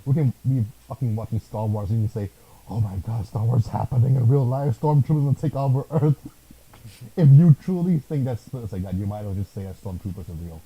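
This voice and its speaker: Husky, dark-voiced man in casual chat. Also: medium pitch, a little fast, dull audio. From Thailand. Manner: swears a lot, animated and joking. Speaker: podcast co-host.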